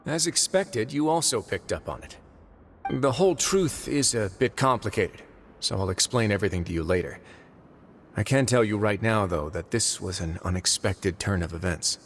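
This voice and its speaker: An actor playing a composed, shrewd duke in an anime-style video game, speaking in a measured, dryly amused manner. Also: male voice, on the low side, expressive, a little hiss or hum. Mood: serious, calculating. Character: composed, shrewd adult duke in charge of prisoners.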